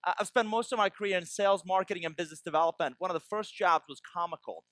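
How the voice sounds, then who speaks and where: A man from Iran giving a business keynote, lightening it with jokes and asides to the crowd. His voice is high and bright, and the recording is dry and close. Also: quick pace, no echo. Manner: tags lines with "right?" to the audience. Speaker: keynote speaker.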